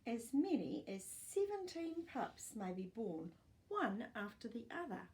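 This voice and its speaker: An older woman reads a non-fiction book to children, pausing to explain things in clear phrases. Her delivery is slow and animated, with pitch that rises and falls freely. There is some creak in her voice. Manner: expressive, clearly phrased, explaining to young listeners. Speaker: storytime host reading aloud. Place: small furnished living room, indoors.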